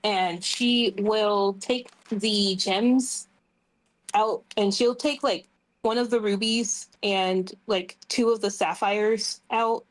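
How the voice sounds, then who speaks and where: Unhurried woman at a tabletop game. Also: medium pitch, fairly even intonation, rough recording. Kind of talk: casual improvised roleplay chat.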